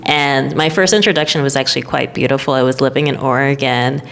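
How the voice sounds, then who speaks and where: A woman from Florida, an herbalist, telling her personal story to camera in a candid, reflective, unhurried way. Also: extremely low pitch, steady tone, vocal fry, fairly bright tone, slight background noise. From Florida, United States.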